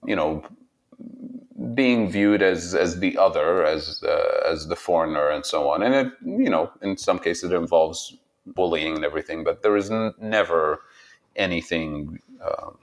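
A man speaks low and unhurried, weighing his words as he looks back on his life. He stops and restarts often, with quiet laughter woven through his story. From Tunisia. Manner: reflective, halting, laughs often. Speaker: interviewee. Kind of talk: personal storytelling interview.

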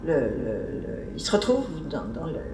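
A woman's voice: rumbling low, huge pitch swings, moderate pace, mellow, a little hiss or hum. A woman giving an informal academic seminar talk, digressive and conversational, as if thinking aloud. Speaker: seminar speaker. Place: seminar room. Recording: echoey room, mic far off.

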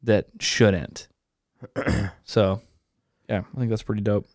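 Chill podcast dude, lowish voice, sniffling a bit, calls everyone man. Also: steady tone, slow, darkish tone, no echo, clean studio sound. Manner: laid-back, says dude and man a lot. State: sniffling after crying. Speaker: podcast co-host. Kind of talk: casual chat.

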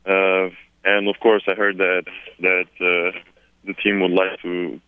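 A young man from Serbia with a Serbian accent, answering reporters on a conference call, halting and repeating words with many fillers. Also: low voice, completely flat delivery, clean tone, no room sound. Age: 20s. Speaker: newly drafted basketball player. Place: at home, far from the press. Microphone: telephone. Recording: tinny dry phone line.

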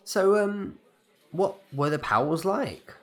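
A man's voice: high-pitched, animated, takes it slowly, a bit bright, full character voice. An actor playing a polite, curious semi-retired man new to a village, hesitant and inquisitive, in audio drama dialogue. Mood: hesitant, probing.

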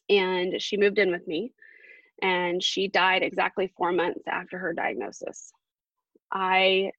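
An earnest woman around forty shares a personal story as a podcast guest, pausing often with fillers. Her voice sits at an ordinary pitch. The recording is dry and a little dull. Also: a bit bright, no echo. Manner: earnest, halting, with many fillers.

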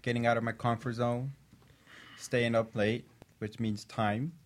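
Guy guesting on a talk show, middle-pitched voice, calm and reflective. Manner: reflective, measured, a few restarts.

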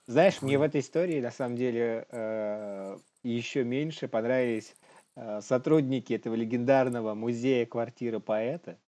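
A man's voice: mid-range voice, normal speed, dark tone. A man co-hosting a comedy news show, bantering casually and jokingly in slang and acting out bits.

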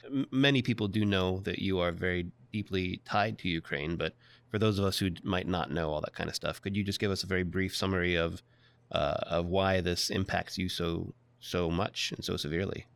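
A man's voice: deep voice, fairly even intonation, a little fast, vocal fry, no room sound. A young man interviewing in an easygoing, self-deprecating way. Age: young adult. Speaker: podcast producer and interviewer. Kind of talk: casual podcast interview.

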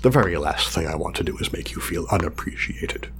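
An actor playing a meticulous male scientist in an audio drama, speaking formally and wordily, halting when flustered. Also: low-pitched, expressive, background noise. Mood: apologetic. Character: meticulous, fussy male scientist testing his drone creation.

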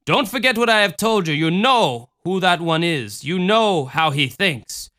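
A tender, wise mother figure speaks slowly and calmly, every word deliberate, as a man reading a story puts her on. Her voice rides a little above his own and stays bright and clear. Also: high-pitched, voice pushed up, full character voice. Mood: solemn. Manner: slow, calm, deliberate speech. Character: gentle, solemn motherly woman, tender and wise.

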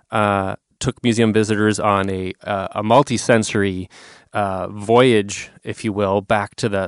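A man with a smooth, resonant, somewhat low voice hosts an interview, posing long and articulate questions. The sound is dry and close, with no room around it. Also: no room sound. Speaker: interviewer host.

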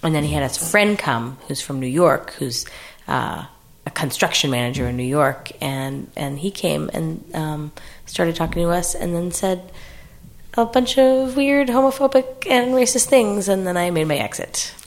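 A woman telling a casual anecdote on a comedy podcast, halting and wry. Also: fairly low pitch. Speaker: comedy improviser.

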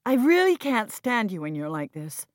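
A woman's voice: mid-range voice, very animated, normal speed, no echo, clean studio sound, pitched above the performer's own voice, lightly acted. A woman narrator playing an earnest, strong-willed and loyal woman in mourning, emphatic and reproachful, in an audiobook drama. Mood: exasperated, harsh.

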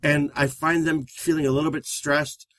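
A man with a loud voice who talks fast, co-hosting a live-streamed relationship talk show. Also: on the high side, no echo. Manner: fast-talking, energetic.

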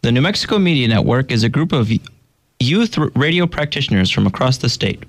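A man hosting a youth radio program with scripted narration and a plain, even delivery. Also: medium pitch, brisk. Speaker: radio host.

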